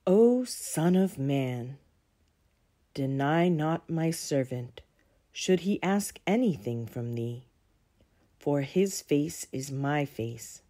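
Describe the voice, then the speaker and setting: A young woman reading aloud and reflecting for children in a deliberate, carefully enunciated way. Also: deep voice, expressive, warm tone. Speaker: children's story reader and presenter. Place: indoors in a home room with windows.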